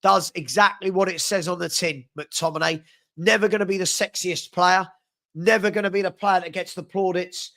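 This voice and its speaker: A young man hosting a live fan chat stream, upbeat and chatty as he reads out viewers' comments and gives shout-outs, with a head cold that leaves him a bit sniffly. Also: high-pitched, fairly even intonation, moderate pace.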